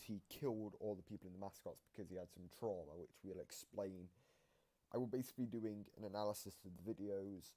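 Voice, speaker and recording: A man's voice: lowish voice, warm tone. A man hosting a casual gaming analysis video, explaining things in a rambling way. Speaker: video host. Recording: noisy home microphone recording.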